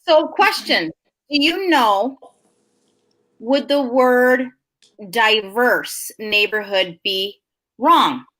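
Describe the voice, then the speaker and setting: An American woman with a high, bright voice and lively, swinging intonation. She chats casually as a co-host, interjecting playfully with quick reactions and questions. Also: rough recording. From United States. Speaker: co-host, real estate agent.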